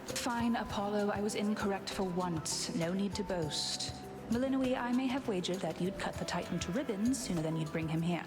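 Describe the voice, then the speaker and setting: A woman voicing a proud young huntress goddess in a game, brisk and dry. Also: mid-range voice, music in the background, lightly acted. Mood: wry. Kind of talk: scripted game voice acting.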